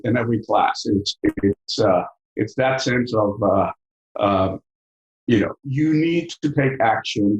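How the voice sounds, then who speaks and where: A man's voice: mid-range voice, highs cut off. A middle-aged man with a Mexican accent, a professor in a podcast interview, speaking thoughtfully with many fillers and repeated words. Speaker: business school professor, guest. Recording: echoey room.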